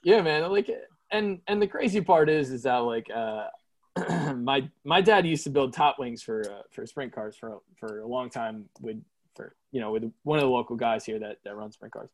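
A man's voice: mid-range voice, moderate pace, no room sound. A man from the United States hosting a podcast, talking casually and peppering his speech with dude and man. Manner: slangy, calls people dude and man. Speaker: podcast host. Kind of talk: casual chat.

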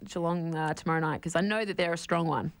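A woman's voice: fairly low pitch, fairly even intonation, quick pace. A woman chatting casually as co-host of a studio radio show.

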